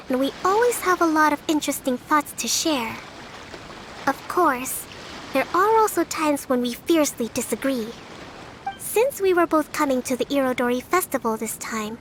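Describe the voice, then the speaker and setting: A woman voicing a gentle, bookish young priestess who leads, speaking politely and earnestly. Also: sits high.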